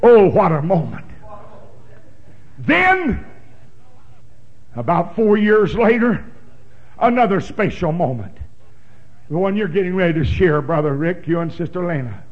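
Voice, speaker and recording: Fervent older preacher with drawl. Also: male voice, very animated, unhurried, pauses often, dull audio. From Oklahoma. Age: 60s. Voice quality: big booming voice. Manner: fervent, emphatic pulpit delivery with sudden shouts. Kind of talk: sermon with scripture reading.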